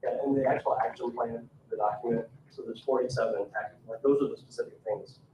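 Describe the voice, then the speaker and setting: A man's voice: medium pitch, fairly even intonation, quick pace, slight background noise. A man informally presenting a plan at a town meeting. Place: indoor public meeting room. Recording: echoey, distant, dull room audio.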